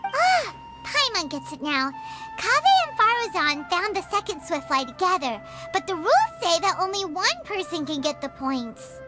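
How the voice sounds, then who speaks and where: A tiny female fairy sidekick from a video game, extremely high and childlike. She is excitable and curious, with a lively, bouncing melody. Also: moderate pace, loud music behind the voice. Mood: understanding. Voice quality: squeaky, childlike. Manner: speaks of herself in third person, perky.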